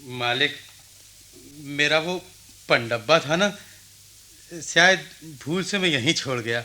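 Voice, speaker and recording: Slow, humble village labourer. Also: male voice, on the high side, pushed and bright, full character voice. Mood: deferential, apologetic. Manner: hesitant, deferential. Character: humble, wistful village labourer, deferential to his master. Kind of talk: Hindi radio play acting.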